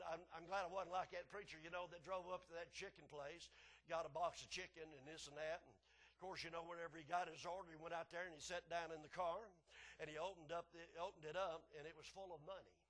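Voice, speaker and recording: An older man, a preacher with a big, loud voice, delivers a fervent evangelistic sermon in an emphatic, folksy way. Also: fairly high pitch. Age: 60s or older. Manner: emphatic and folksy, swelling from quieter asides to shouts.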